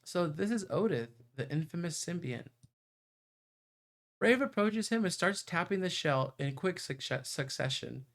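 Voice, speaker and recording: Creaky, higher-pitched man reading aloud on stream. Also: lively intonation, unhurried, a bit bright. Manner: playful, stumbles while reading. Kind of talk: livestream read-aloud narration with chatty asides.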